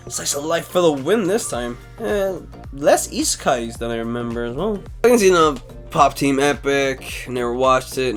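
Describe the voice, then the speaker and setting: A man reading out anime synopses and then wondering aloud about each show in casual, animated commentary. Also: medium pitch. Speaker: anime YouTuber.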